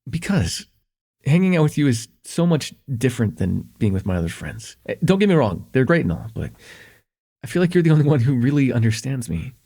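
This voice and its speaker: Man acting as husky, smitten young student. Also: medium pitch, very animated, a little fast. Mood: nervous, tender. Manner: hesitant, earnest, stammering, chuckling softly between phrases. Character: shy, smitten young student confessing to a close friend. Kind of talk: intimate ASMR roleplay acting.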